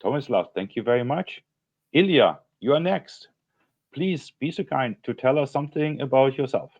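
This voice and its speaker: A man's voice: mid-range voice, expressive, normal speed. A man in his sixties politely moderating an online expert panel as its host. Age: 60s. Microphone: video call. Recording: dull video-call audio.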